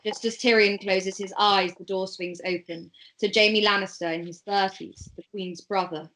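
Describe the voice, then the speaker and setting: Woman narrating a script, mid-pitched. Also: fairly even intonation, moderate pace, bright, forceful tone, a little hiss or hum, poor audio. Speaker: narrator of a script table read. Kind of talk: reading stage directions aloud.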